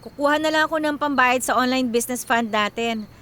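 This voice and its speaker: High-voiced young breadwinner daughter. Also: female voice, fairly quick, a bit bright. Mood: practical. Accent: Filipino. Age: young adult. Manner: teasing, self-deprecating. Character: tired but generous, wry millennial breadwinner daughter. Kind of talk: radio drama acting.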